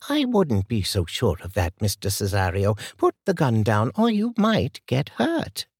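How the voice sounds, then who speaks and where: A man voicing a calm, commanding older woman who speaks with measured politeness. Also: rumbling low, husky, no echo, clean studio sound, voice pushed up, heavily acted. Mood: calm warning.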